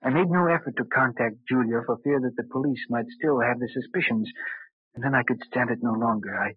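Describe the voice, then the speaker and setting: A man acting a composed, secretive murderer and husband in an old radio drama, speaking in a measured, deliberate way. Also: medium pitch, breathy edge, no room sound, lightly acted. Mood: measured, confessional. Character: composed, secretive murderer recounting his crime, tender husband. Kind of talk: 1940s radio drama acting, first-person narration and dialogue. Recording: old radio recording, narrow band.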